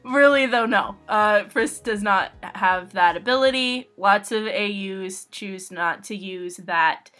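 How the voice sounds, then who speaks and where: A woman's voice: mid-range voice, slow pace, hardly any pauses, sharp tone. A woman answering fan questions about her own comic in a casual, jokey and informal way. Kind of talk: casual playful chat.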